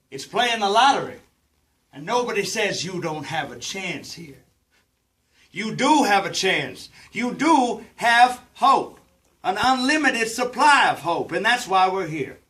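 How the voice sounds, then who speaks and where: Give the stage a manipulative male trial lawyer, acted by a man, delivering a rousing closing speech. The voice is high and bright, the delivery slow and emphatic, built on rhetorical questions. It is picked up from some distance. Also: heavily acted. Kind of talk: theatrical monologue, closing argument to a jury.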